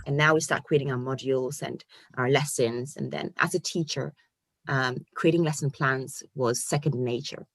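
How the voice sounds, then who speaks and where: A woman from Ghana in her forties, an online course coach telling her story in an animated, casual way with restarts and fillers. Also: rumbling low, normal speed, slightly rough, no echo. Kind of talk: casual interview chat.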